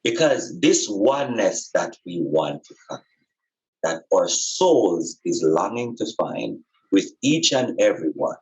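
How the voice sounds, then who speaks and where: A man's voice: sits low, sing-song, a little slow, low-quality recording. A man speaking as a spiritual teacher in conversation, earnest and emphatic, repeating phrases for effect.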